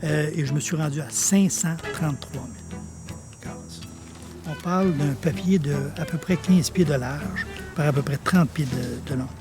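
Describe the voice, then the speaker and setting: A man's voice: fairly high pitch, unhurried, creaky. A man, telling stories in a chatty interview with frequent fillers. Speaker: pharmacy manager. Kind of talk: casual interview answers. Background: music.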